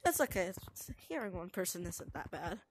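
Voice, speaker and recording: A woman's voice: fairly low pitch, big pitch swings, normal speed, slight background noise. A young woman casually talking through a game tutorial, explaining each step and often restarting her sentences. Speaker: gaming tutorial narrator. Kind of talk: casual gameplay commentary.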